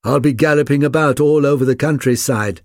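A man voicing a loud, hearty Old English Sheepdog puppy in a storybook. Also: mid-range voice, a little fast, no room sound, studio quality, voice pushed up, close to an everyday voice. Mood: bluff, boastful.

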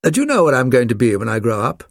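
An earnest storybook Labrador pup, acted by a man. It speaks in a measured, self-important tone with lively intonation and a dry, close sound. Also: medium pitch, gravelly, no room sound, voice pushed up, exaggerated voice.

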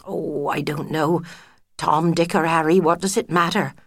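A woman's voice: sits low, fairly even intonation, a little slow, no echo, clean studio sound. A woman voicing a widowed mother mouse, sniffy, resigned and world-weary.

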